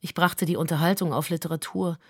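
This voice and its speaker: A woman's voice: deep voice, fairly even intonation, fast talker, a little mellow, no room sound, clean studio sound. A woman playing a stern, disapproving mother giving formal, composed testimony in a radio play. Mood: cool disapproval.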